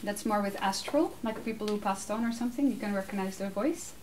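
A woman's voice: medium pitch, brisk. Dutch woman answering from across a room. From Netherlands. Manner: animated, laughs often, talks with her hands. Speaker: speaker answering audience questions. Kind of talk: casual Q&A answers. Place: small room with curtained backdrop, seated. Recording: distant mic, faint room noise.

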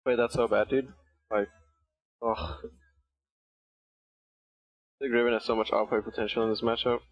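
A man's voice: mid-range voice, flat delivery, moderate pace, dull audio. A young man streaming a game from a small room on a headset, chatting casually, swearing and thanking subscribers.

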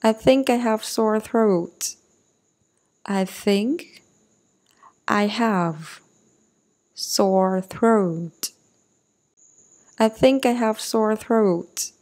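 A woman's voice: medium pitch, very slow, long pauses, darkish tone. A woman reading short English phrases for learners. She splits each phrase into chunks and then repeats it whole. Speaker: English teacher.

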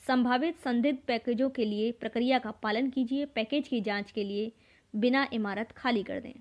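Woman teacher w a highish voice, reading out quiz questions and answers methodically. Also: normal speed, highs cut off. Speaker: exam-prep teacher.

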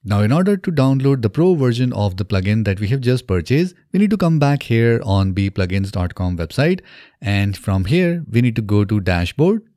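A man in his thirties narrating a software tutorial step by step, in an instructional way, close to the microphone. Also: fairly low pitch, very animated, normal speed, no room sound. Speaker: tutorial presenter. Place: small indoor home studio. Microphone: close desk microphone on a boom arm.

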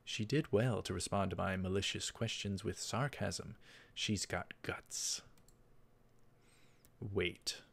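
A man's voice: low-pitched, slow pace, creaky, pauses often, fairly bright tone. A young man hosting a let's play, reading visual novel text aloud and adding casual commentary. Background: game music.

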